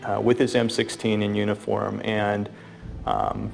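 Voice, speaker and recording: A middle-aged woman with a low voice and a gravelly creak gives a personal testimonial. Her delivery is hesitant and full of feeling, with faint music behind her. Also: level tone, a little slow, darkish tone. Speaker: interviewee giving a testimonial. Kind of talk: personal reflection to camera.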